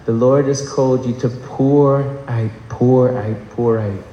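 A man's voice: mid-range voice, steady tone, measured pace, warm tone, highs cut off. A man preaching a sermon to a congregation, impassioned, often asking the room rhetorical questions. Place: large church hall. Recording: echoey church hall with long reverb.

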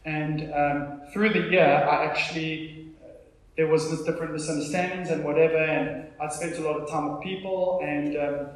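A heavily reverberant live recording of a South African man delivering a sermon. His voice sits a little higher than most men's. He speaks informally, like a storyteller, leaning on repeated phrases for emphasis. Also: fairly even intonation, moderate pace. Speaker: pastor preaching to a congregation. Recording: very echoey room, live sermon.

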